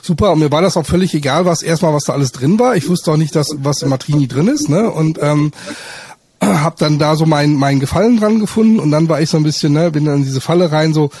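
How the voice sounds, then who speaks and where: A middle-aged man chatting casually in a halting, hesitant way, often adding short tag questions. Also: fairly high pitch, darkish tone, slightly rough. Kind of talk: casual chat.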